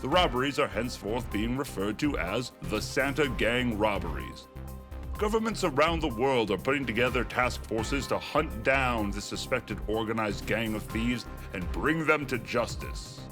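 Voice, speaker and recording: A man's voice: medium pitch, animated, normal speed, over music, natural voice. A man playing a grave, emphatic television news anchor delivering a formal bulletin in an audio drama. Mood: grave urgency.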